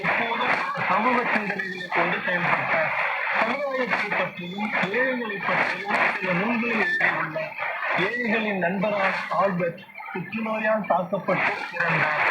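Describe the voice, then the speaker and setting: Heavy shortwave static surrounds this radio presenter's voice. The pitch sits in a middle range. The delivery is a scripted religious narration, read out for broadcast over a very noisy signal. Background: loud radio static and noise.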